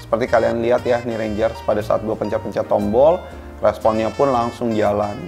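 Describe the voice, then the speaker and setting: A man's voice: lowish voice, fairly even intonation, fairly quick, gravelly, a little mellow. Casual man reviewing products over music. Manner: informal and colloquial, addresses viewers directly. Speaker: product reviewer, video host.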